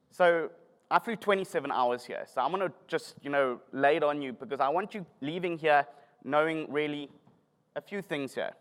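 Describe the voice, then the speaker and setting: A middle-aged man with a South African accent giving a self-deprecating, joking conference talk. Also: a little high. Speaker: conference speaker. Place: conference hall. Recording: long hall reverb.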